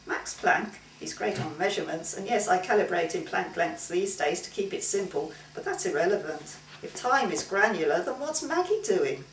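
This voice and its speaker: A woman voicing an impatient, teasing male time-travelling painter in a story reading, animated and argumentative. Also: fairly low pitch, moderate pace, hardly any pauses. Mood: insistent. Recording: roomy, distant mic, faint hiss.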